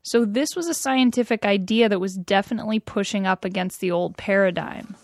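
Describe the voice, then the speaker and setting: A woman hosting, reading smooth scripted narration into a close studio mic. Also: mid-range voice, a little fast, slight background noise. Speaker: host narrator.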